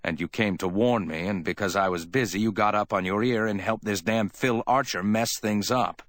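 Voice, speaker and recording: A man's voice: on the low side, normal speed, fairly bright tone, no echo, natural voice. A man reads as a cool hardboiled man in an audiobook story. He is wry and offhand, clipped yet lightly amiable, brushing things aside. The recording is close and dry. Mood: dryly reproachful. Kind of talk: dramatic audiobook character reading.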